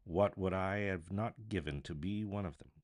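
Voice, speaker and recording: A man reading a classic story aloud as a voice artist, with theatrical, expressive narration. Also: low voice, normal speed. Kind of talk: dramatic live audiobook narration of a classic novel.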